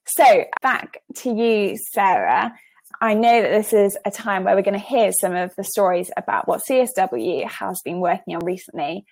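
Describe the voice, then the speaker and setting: A woman's voice: medium pitch, creaky, darkish tone. A British woman hosting a podcast, reading out contact details and handing over to a guest in a friendly, easygoing way. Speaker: podcast host.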